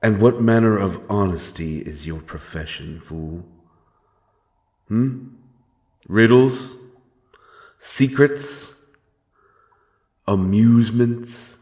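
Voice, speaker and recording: A man playing a stern, menacing but honourable monster hunter in a dark fantasy audio drama, speaking in solemn, archaic phrases. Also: on the low side, drawn-out words, pauses often. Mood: mocking, questioning. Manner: archaic, solemn, threatening speech. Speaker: audio roleplay performer. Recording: music behind the voice.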